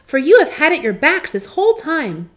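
Tiny, squeaky mouse queen. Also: big pitch swings, moderate pace, a little hiss or hum. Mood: helpful, brisk. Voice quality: squeaky, little.

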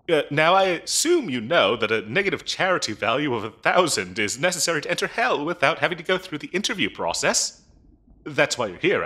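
Comedy audio roleplay: officious male demon interviewer acted by a man, bright, slightly raspy, dryly polite, hesitant bureaucrat. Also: medium pitch, heavily acted. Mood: amused, businesslike. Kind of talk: comedic audio roleplay acting, addressing the listener.

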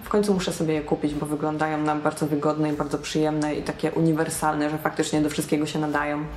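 A young woman talking to camera about alternative fashion, chatty and self-mocking, recorded in a small bright room. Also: deep voice, little pitch movement, fast talker, a little hiss or hum. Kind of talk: casual talk to camera. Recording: roomy echo.

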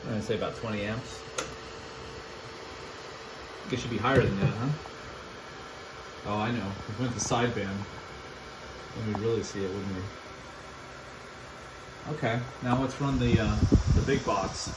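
A man giving a casual, halting hands-on demo as a radio hobbyist, thinking aloud as he goes. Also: fairly low pitch. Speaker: amateur radio hobbyist demonstrating equipment. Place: small indoor room with radio gear. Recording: steady air-conditioning noise in room.

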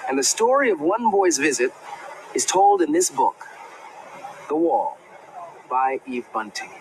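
A man giving a measured, reflective scripted narration in the manner of a documentary for a children's programme. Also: a little high, big pitch swings. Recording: clearly noisy soundtrack with ambient sound.

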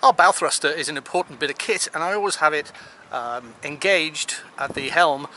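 A man's voice: mid-range voice, background noise. A man, narrating his own boat maintenance vlog in a chatty, step-by-step way, filmed on a handheld camera. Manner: chatty, matter-of-fact, explaining boat jobs step by step. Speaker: sailing vlogger. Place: on a sailboat and beside its hull in a boatyard. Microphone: handheld action camera.

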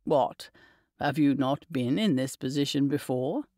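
A woman reading an audiobook, voicing a young gentleman husband with a low, dry, playfully teasing manner. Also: measured pace, dry recording. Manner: low, drawling, wry teasing.

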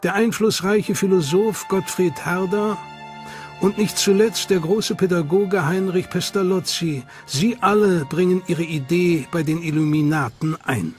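A male narrator with a notably high voice tells a historical story in a measured, scripted way. A clear music bed runs under every line. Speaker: documentary narrator.

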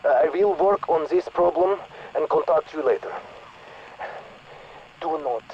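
An actor playing an earnest male Russian scientist speaking over a radio, with a Russian accent and a slow, measured manner. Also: fairly high pitch, dull audio, slight background noise. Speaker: in-game radio character. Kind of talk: recorded game dialogue.

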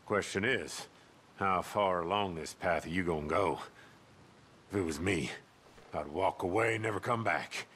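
An actor playing a grim, menacing American outlaw in a western video game, with an ominous, taunting drawl. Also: male voice, low voice, normal speed, pauses often, fairly bright tone, slight background noise. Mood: grimly scornful. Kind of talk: video game cutscene monologue.

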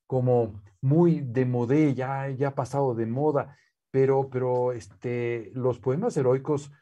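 Mexican man, interview guest, slow unhurried delivery, warm mellow tone, frequent hesitations. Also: mid-range voice, fairly even intonation, dull audio. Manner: slow, many "este" fillers and restarts. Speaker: guest, poet's nephew and scholar. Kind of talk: relaxed conversational interview. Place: living room with bookshelves, seated.

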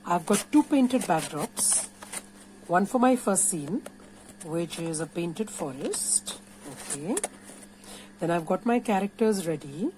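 A woman's voice: fairly low pitch, lively intonation, takes it slowly, darkish tone, a little hiss or hum, low-quality recording. An Indian-accented woman giving an informal, playful and encouraging step-by-step craft tutorial. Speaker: puppeteer teaching a craft workshop. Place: indoors at a craft table.

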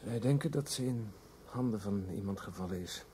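An actor as weary, low-voiced police detective. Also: male voice, moderate pace, mellow, lightly acted. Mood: grave, consoling. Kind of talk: radio drama acting. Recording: faintly noisy old radio play.

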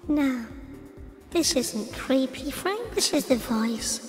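A creepy, calm female voice acted by a woman for a sci-fi radio story. It coaxes at an extremely slow, measured pace. Heavy echo surrounds it, with music behind. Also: high voice, exaggerated voice. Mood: creepy, coaxing. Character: eerily calm, sinister female starport announcer and inner voice. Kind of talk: slow dramatic reading for an online radio show.

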